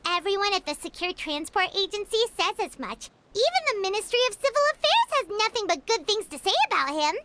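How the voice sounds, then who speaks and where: A woman's voice: extremely high pitch, big pitch swings, brisk, hardly any pauses, noisy background. A woman voicing a small, cheerful, talkative fairy companion in a video game, bubbly and eager in manner. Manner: refers to self in third person, bubbly, eager.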